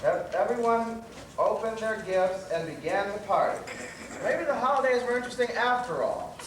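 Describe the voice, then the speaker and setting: A man's voice: sits high, animated, moderate pace. A man narrating a children's story on stage as the storyteller. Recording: echoey stage, distant mic, faint noise.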